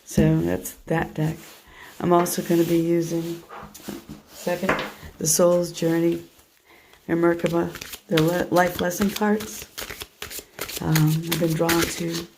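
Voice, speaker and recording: Hoarse, low-voiced woman reading tarot. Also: flat delivery, slow, pauses often, background noise. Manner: soft, meandering, half to herself while handling cards. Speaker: tarot reader. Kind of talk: casual tarot reading monologue.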